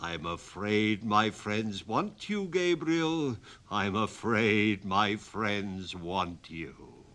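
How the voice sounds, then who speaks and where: Brief: loud, slow, menacing goblin, done by a male reader, mocking and stern, bright edge. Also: on the low side, animated, full character voice. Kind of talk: dramatic storybook reading.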